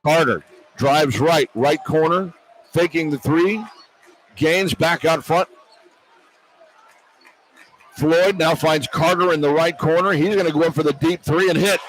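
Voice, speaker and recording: A man's voice: on the high side, measured pace, pauses often, poor audio. An American man doing live radio basketball play-by-play in terse, clipped phrases. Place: courtside in a large indoor arena. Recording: radio broadcast with clear arena noise. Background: arena ambience and noise.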